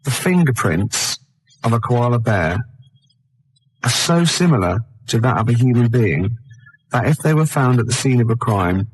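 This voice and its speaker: A British man hosting a radio comedy show, rambling through improvised stories with deadpan repetition. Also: medium pitch, moderate pace, breathy edge, rough recording. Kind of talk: rambling comic chat and improvised storytelling.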